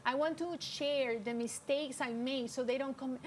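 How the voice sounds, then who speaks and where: A woman's voice: sits high, fairly even intonation, normal speed, slight background noise. A middle-aged woman from Peru, a non-native English speaker, talking earnestly and upbeat as a guest on a TV interview in a studio. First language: Spanish. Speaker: guest entrepreneur.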